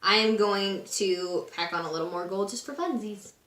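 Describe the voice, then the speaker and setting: A woman with a raspy voice today, casually talking through a makeup tutorial at home. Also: medium pitch, moderate pace, roomy sound. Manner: chatty, relaxed and instructive, with frequent fillers. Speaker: beauty vlogger. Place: room at home.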